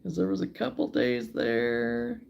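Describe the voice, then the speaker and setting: Woman, live-stream host, hoarse and froggy, with gravelly fry; relaxed, chatty, muttering as she works, faint noise behind. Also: sits low, unhurried, highs cut off. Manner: relaxed and chatty, mutters to herself while working. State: hoarse, froggy voice from a recent cold. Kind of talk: casual chat while crocheting, answering viewers.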